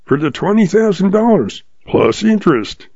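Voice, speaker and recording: A man voicing a wily old male cowboy with a calm, slow Western drawl. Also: high-pitched, vocal fry, breathy edge, no room sound, dull audio, pitched above the performer's own voice, heavily acted. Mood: calm, sly.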